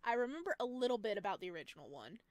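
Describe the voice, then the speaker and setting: A woman's voice: on the high side, sing-song, racing. A woman hosting a gaming video, giving gushing, playful let's play commentary. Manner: gushing, exclamatory, playful cutesy slang. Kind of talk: excited let's play commentary with read-aloud game text. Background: music.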